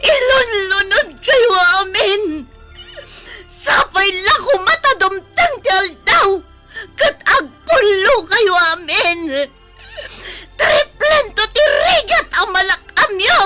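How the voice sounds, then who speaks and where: A high-pitched, wailing, anguished mother. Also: lively intonation, slow pace, pushed and bright, faint music behind, heavily acted. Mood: wailing fury. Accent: Ilocano. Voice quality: tearful, breaking voice. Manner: pleading, wailing, melodramatic. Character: anguished young mother, fiercely protective of her baby. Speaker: voice actor in radio drama. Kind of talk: Ilocano radio drama acting.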